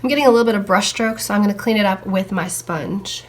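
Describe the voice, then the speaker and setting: Slightly hoarse woman chatting through a makeup review. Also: mid-range voice, fast talker. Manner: chatty, talking while applying makeup. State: hoarse from a cold. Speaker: beauty vlogger.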